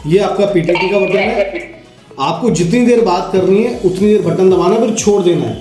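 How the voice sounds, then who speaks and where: A man with an Indian accent walks through a product in a casual, instructional way. His voice sits a little high, and he keeps checking that listeners follow. The room is clearly echoey, with music faintly behind him. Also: moderate pace. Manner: explanatory, checks in with listeners using tag questions. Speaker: product demonstrator.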